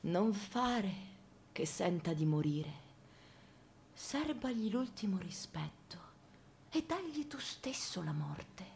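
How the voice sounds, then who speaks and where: A woman's voice: mid-range voice, very animated, takes it slowly, vocal fry, a little mellow, a little hiss or hum, exaggerated voice. A woman playing a grave, severe spinster, reciting solemnly and measuredly in literary radio theatre, at times near a whisper. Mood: solemn, hushed. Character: grave, severe spinster resolved on a mercy killing.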